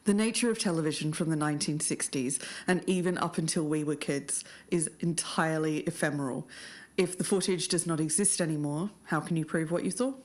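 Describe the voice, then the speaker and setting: A woman's voice: deep voice, fairly quick, a little mellow. A woman co-hosting a podcast and delivering scripted narration. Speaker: podcast co-host.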